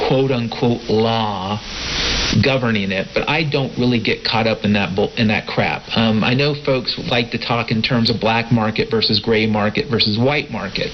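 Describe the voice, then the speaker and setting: Guy hosting a folksy radio rant, mid-pitched voice, lots of hiss over shortwave. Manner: folksy, addresses listeners as friends, brothers and sisters. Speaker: host of a shortwave podcast broadcast. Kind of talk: informal opinion monologue to listeners. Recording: hissy, muffled shortwave radio broadcast.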